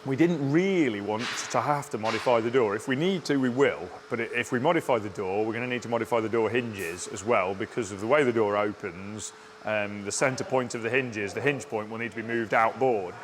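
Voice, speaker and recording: Halting man in a workshop. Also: on the low side, a little hiss or hum. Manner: halting, restarts often, plain technical talk. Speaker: car fabricator giving a build update. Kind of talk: casual workshop walkaround. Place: indoor car workshop.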